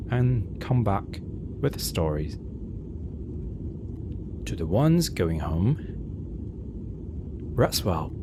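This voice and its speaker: A calm night-flight airline captain acted by a man speaks very low and slowly in a soft, breathy near-whisper. The ASMR delivery is gentle and reassuring, with measured pauses, over a steady rumble of aircraft engines. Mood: warm, gentle. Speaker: ASMR roleplay performer. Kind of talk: soothing ASMR roleplay monologue. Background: low engine rumble ambience.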